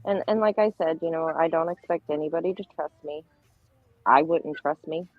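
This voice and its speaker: A woman's voice: deep voice, normal speed, no echo, faint music behind. Woman on a tinny phone-like line. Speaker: panel guest. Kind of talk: casual livestream chat.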